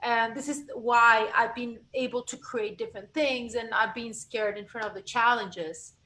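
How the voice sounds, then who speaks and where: A woman from Argentina, speaking as a guest voice coach in a lively interview, restarting phrases as she talks. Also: fairly high pitch, moderate pace, a little hiss or hum, low-quality recording. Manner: animated, expressive, frequent self-corrections. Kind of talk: conversational interview.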